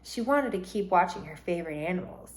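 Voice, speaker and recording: A woman teacher reading a storybook aloud to children in a deliberate, instructive, expressive way and explaining vocabulary as she goes. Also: on the low side.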